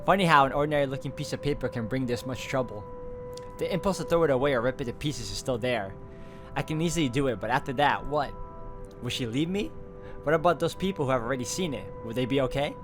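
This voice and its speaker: A man reading a visual novel aloud as dramatic, brooding first-person narration, phrasing it deliberately. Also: medium pitch. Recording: background guitar music over the voice.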